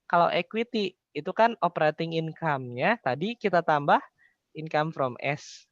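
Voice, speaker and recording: A man's voice: on the high side, lively intonation, moderate pace, fairly bright tone, no room sound, highs cut off. A young man tutoring informally, explaining step by step and often asking questions he then answers. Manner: poses questions then answers them, colloquial. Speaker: accounting tutor.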